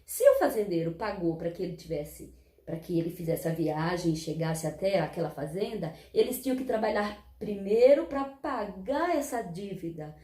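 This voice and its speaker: Woman teaching children, mellow voice. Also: mid-range voice, roomy sound. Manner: patient, explanatory, asks the class rhetorical questions. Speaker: primary school teacher. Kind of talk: recorded history lesson for children.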